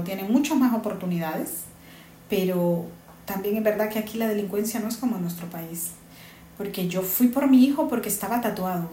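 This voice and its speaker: A woman's voice: mid-range voice, dark tone, a little hiss or hum. Earnest woman in an echoey room. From Honduras. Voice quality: earnest, repeats herself for emphasis. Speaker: interviewee, migrant mother. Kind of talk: earnest personal testimony. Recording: echoey room with faint ambience.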